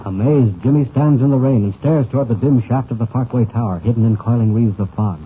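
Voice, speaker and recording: A man's voice: mid-range voice, fairly quick. A man announcing and narrating a 1940s radio serial in a grandiose, suspenseful, emphatic style. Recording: old narrow-band radio recording, noisy.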